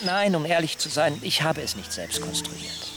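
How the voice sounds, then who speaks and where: An actor in a German radio play playing a reserved, polite colonial settler, speaking in a measured, formal and reticent way. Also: male voice, fairly high pitch, very animated, loud music behind the voice. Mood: modest, explaining.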